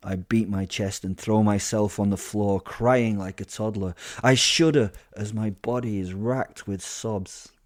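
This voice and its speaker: A man's voice: fairly low pitch, steady tone, measured pace, hardly any pauses, dry recording, clean studio sound. A man reading first-person scripted story narration with wry, self-satisfied asides. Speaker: narrator of audio drama.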